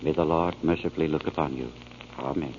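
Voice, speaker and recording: A man's voice: rumbling low, fairly even intonation, fairly quick. A man playing a wedding minister in a 1940s radio drama. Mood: solemn. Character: jovial, solemn minister officiating a wedding. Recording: muffled, noisy 1940s radio recording.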